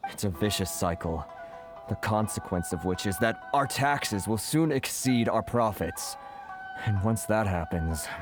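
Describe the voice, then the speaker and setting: A man plays a world-weary game merchant with a lowish voice. He is courteous and articulate as he airs his grievances, with music faintly to clearly audible behind him. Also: lightly acted. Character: weary, courteous, aggrieved foreign male merchant. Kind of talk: video game cutscene acting.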